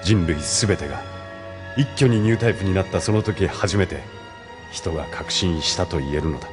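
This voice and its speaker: Guy acting as a stern lecturer type, really deep voice, formal and measured, audio drama. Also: over music, natural voice. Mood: solemn, didactic.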